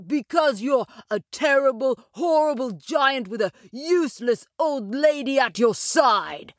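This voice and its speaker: A woman's voice: sits high, animated, a little slow, dry recording, studio quality, pitched above the performer's own voice. A woman voicing a cheeky young boy in a fairy tale, with a pleading, theatrical delivery. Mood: complaining.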